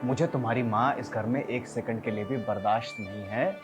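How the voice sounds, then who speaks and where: A man's voice: mid-range voice, a little fast, natural voice. Man acting a domineering, scornful angry husband. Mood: cold contempt. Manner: scornful, confrontational, repeats demands insistently. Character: angry, domineering husband insulting his mother-in-law. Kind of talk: melodramatic family drama acting. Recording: dramatic music underneath.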